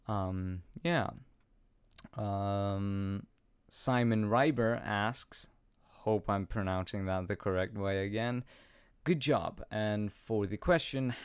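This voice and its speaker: A man's voice: on the low side, clean tone, no room sound. A young man of about eighteen from Iceland, answering viewer questions in a casual, rambling way with plenty of ums and self-deprecating laughs, recorded at home on a studio mic. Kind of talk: casual Q&A chat. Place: small home room, indoors. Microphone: studio condenser mic with pop filter, wearing headphones.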